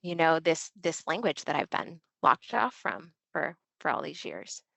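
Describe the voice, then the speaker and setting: A woman's voice: low voice, sing-song, a little slow, creaky, pushed and bright, no room sound. A woman, a Marine veteran linguist, talking candidly as a podcast guest, with loose fillers. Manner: candid and chatty, with filler words. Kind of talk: casual interview chat.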